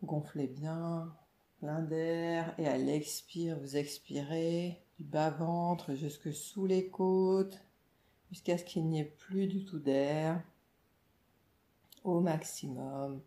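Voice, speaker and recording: A woman meditation teacher leading a guided relaxation in a calm, soothing, instructional way, with short phrases and pauses. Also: sits low. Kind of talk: guided yoga nidra relaxation narration. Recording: roomy, echoing voice recording.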